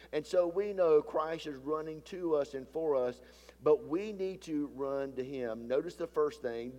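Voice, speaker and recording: Stuffy-voiced preacher in a reverberant church. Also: male voice, a little high. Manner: preaching cadence, often swelling louder and slowing for emphasis. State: stuffy from a sinus issue, voice deeper than usual. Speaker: pastor. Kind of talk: sermon. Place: church sanctuary. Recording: long hall reverb from a church sanctuary.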